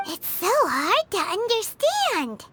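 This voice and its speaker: A tiny floating fairy girl from a video game, with an extremely high squeaky voice that swoops about. She is childish, eager and excitable, over loud background noise. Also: slow, heavily acted. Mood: puzzled. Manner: squeaky, childlike, speaks of herself by name.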